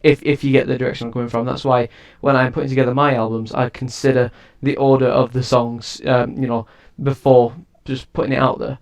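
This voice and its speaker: A man's voice: mid-range voice, little pitch movement, brisk, few pauses. A man giving a casual spoken review of an album, rambling and often restarting his sentences. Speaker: music reviewer and producer.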